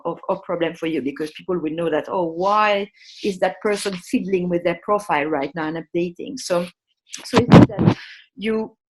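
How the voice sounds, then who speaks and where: A woman's voice: fairly low pitch, rough recording. Hesitant woman teaching a video-call workshop. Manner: hesitant, many ums and restarts, friendly instructional. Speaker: workshop presenter. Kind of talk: live online workshop on a shared screen.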